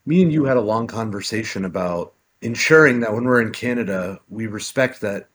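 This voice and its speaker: A man's voice: fairly low pitch, brisk, fairly bright tone, husky. A man co-hosting a podcast, asking questions in a conversational, admiring way. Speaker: podcast co-host, doctor. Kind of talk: podcast interview.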